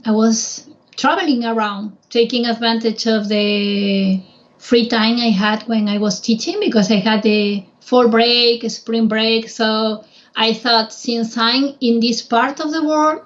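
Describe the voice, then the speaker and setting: A woman from Spain speaks English with a clear Spanish accent in a fairly high voice. She tells her stories in a chatty, hesitant way, full of small fillers. The recording sounds muffled and low-fidelity. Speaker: guest, travel guide. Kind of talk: casual conversation.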